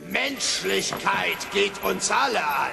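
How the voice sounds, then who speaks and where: A man's voice: high voice, lively intonation, slow pace, creaky, full character voice. An actor playing the solemn ghost of a dead businessman in a dubbed cartoon, speaking in a portentous manner. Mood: solemn, warning. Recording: loud film music behind.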